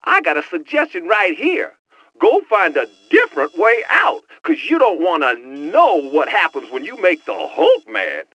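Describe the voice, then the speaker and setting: A man's voice: sits high, huge pitch swings, measured pace, fairly bright tone, dry recording, full character voice. An actor playing a boastful young male hacker who talks in teasing street slang over a headset in a video game. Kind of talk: video game cutscene voice acting.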